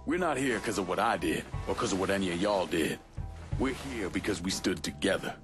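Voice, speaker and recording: An actor playing a hard, resolute crime boss in a game drama, terse and commanding. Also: male voice, sits low, expressive, brisk, music in the background. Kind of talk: dramatic video game acting.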